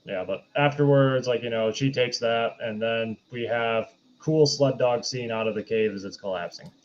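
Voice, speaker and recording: A man's voice: medium pitch, normal speed. A man co-hosting a podcast, adding details to a movie recap in casual conversation. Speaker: podcast co-host. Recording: slightly dull lo-fi mic, faint noise.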